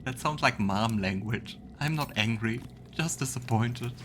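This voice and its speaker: A man's voice: mid-range voice, unhurried, background noise. A man hosting a let's play, giving casual game commentary with wry, joking asides. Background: game ambience.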